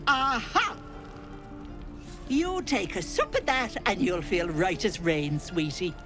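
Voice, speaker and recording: A woman's voice: mid-range voice, expressive, takes it slowly. An actress voicing a fussing old woman, a disguised hag, in sugary, doting, old-fashioned tones. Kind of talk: video game dialogue. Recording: faint music behind.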